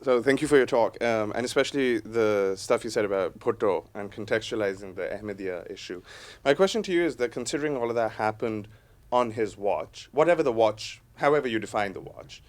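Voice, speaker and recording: A man speaking up from the audience at a talk. He lays out his question in a measured, step-by-step way, adding qualifications as he goes. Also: lowish voice, animated. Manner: measured, carefully built question. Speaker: audience member asking a question.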